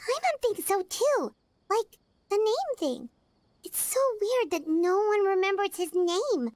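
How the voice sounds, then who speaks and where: Super high squeaky little girl sidekick, chatty and curious, bouncy voice, faint game music. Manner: chatty, refers to herself in third person. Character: tiny floating girl companion, chirpy and curious. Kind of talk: video game voice acting.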